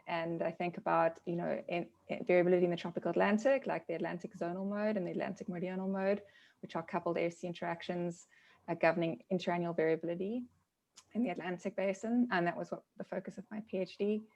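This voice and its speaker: Woman, 30s, lowish voice, vocal fry, enthusiastic, video call webinar. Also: flat delivery, fast talker, darkish tone. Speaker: scientist panelist. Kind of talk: webinar self-introduction.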